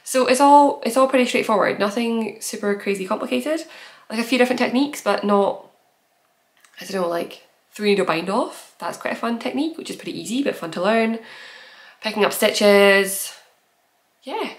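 A woman's voice: a little high, lively intonation, moderate pace. Chatty young woman with a head cold. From based in Edinburgh. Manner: chatty, giggly, rambling with fillers. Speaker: knitting podcast host. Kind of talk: casual chat to camera. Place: small room at home, bookshelves.

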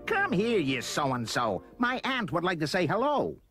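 A man voicing a spoilt, bossy young boy in a storybook, with an imperious, shouting manner. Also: mid-range voice, very animated, unhurried, soft background music. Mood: yelling, excited. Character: spoilt, bossy, bratty young boy demanding exotic pets.

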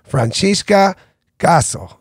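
A man in his forties hosting a podcast, chatting energetically with his guest in a small studio on a boom-arm mic. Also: lively intonation, slow, breathy edge, dry recording. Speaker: podcast host. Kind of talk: casual interview. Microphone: boom-arm studio mic with headphones.

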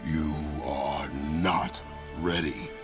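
A man's voice: steady tone, extremely slow, full character voice. An actor playing a stern, hulking warrior father in a video game, speaking in terse, clipped commands. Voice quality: very deep, gravelly. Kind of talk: dramatic video game acting. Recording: game audio with loud music.

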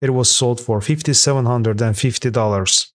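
A man reading a scripted voice-over that counts down rare coins sold at auction, in a steady, even manner with an occasional slip. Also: mid-range voice, moderate pace, dry recording, studio quality. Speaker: narrator of a coin auction countdown. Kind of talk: scripted voice-over narration read aloud.

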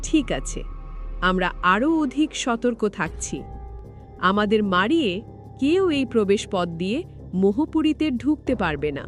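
A woman plays a young fairy guard in a cartoon, high-pitched and animated. She sounds alert and earnest, with music underneath. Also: normal speed. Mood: resolute. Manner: earnest, resolute. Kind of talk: animated fairy-tale acting.

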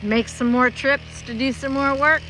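A woman's voice: high-pitched, fairly even intonation, moderate pace, bright, forceful tone, a little hiss or hum, rough recording. A middle-aged woman chatting casually to camera as a vlog host, outdoors on a handheld phone. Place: outdoors in a pine clearing. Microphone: handheld phone at arm's length.

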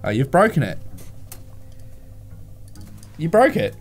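An Australian man in his thirties heckles as co-host in a high voice that swings widely. He is excitable and sweary, talking casually over loud music in a small studio. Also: takes it slowly. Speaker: co-host of a comedy unboxing show. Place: small dark curtained studio.

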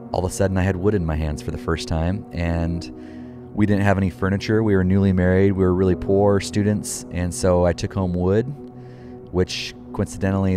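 Low, flat-voiced soft-spoken man. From United States. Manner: soft-spoken, reflective, unhurried. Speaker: furniture maker. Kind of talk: documentary interview. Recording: music bed underneath.

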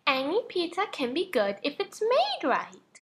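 A woman's voice: very high-pitched, huge pitch swings, a little slow, a little hiss or hum, exaggerated voice. A woman voicing a brash, cheeky animatronic girl in a cartoon comic dub, with bold, exaggerated delivery. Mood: matter-of-fact.

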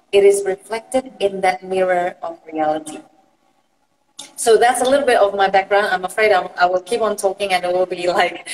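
A woman from Indonesia in her thirties talks into a phone held at arm's length. She explains at length, earnestly, restarting her sentences and filling gaps with "um". The room rings with a long echo. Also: medium pitch. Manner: rambling, earnest, many fillers and restarts. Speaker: guest psychologist. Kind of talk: explaining on a live video call. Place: bright room indoors.

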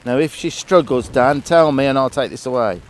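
A man's voice: medium pitch, animated, a little fast, a little hiss or hum, rough recording. A man working with a horse outdoors, explaining each step as he goes. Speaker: horse trainer. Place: outdoors in a paddock.

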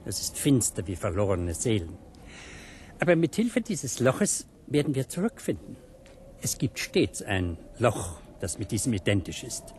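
An actor playing a knowing, eerie gentleman guide in a radio drama, assured, theatrical and bookish in his wording. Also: fairly low pitch, very animated, a little slow, a little hiss or hum. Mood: darkly explaining. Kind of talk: German radio drama acting.

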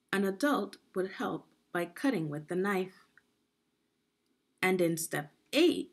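A woman with a slightly low, gravelly voice leads a children's read-aloud. She phrases each part deliberately, like a teacher, and her pitch moves in lively swings. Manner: deliberate teacherly phrasing, asks the listener questions. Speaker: education consultant reading aloud.

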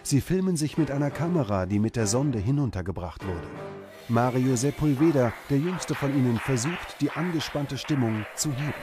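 A man reading scripted documentary narration in a measured, serious way. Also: medium pitch, lively intonation. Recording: music under the narration. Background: background music.

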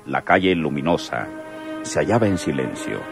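A man's voice: very deep voice, big pitch swings, moderate pace, creaky, music in the background. A man narrating a radio serial in a theatrical, emphatic scripted style.

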